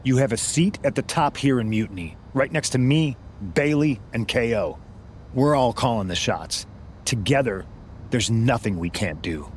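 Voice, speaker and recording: A persuasive, scheming wrestling villain who leads a faction acted by a man in a game cutscene. The voice sits at medium pitch over a faint rumble. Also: lively intonation, moderate pace, lightly acted. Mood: persuasive, assured. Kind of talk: scripted game cutscene dialogue.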